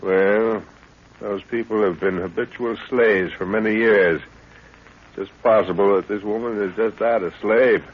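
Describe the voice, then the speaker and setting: An actor playing an older, confident jungle explorer, hearty, brisk and fatherly, in a 1930s radio adventure drama. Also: on the low side, steady tone, breathy edge. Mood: thoughtful. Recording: dull, hissy 1930s radio recording.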